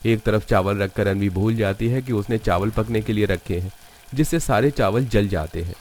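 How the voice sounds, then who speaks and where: Lowish-voiced man narrating a cartoon story. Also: moderate pace, darkish tone.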